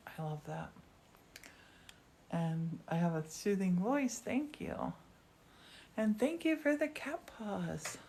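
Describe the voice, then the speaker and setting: She is an enthusiastic woman giving a casual live studio demonstration. Her voice sits on the low side, with a creaky edge, and she talks at a leisurely pace. She chats to viewers as she goes. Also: pushed and bright, a little hiss or hum. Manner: enthusiastic, chatty. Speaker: glass artist hosting a live studio demonstration. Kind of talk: casual live-stream chat and commentary. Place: glass studio workshop.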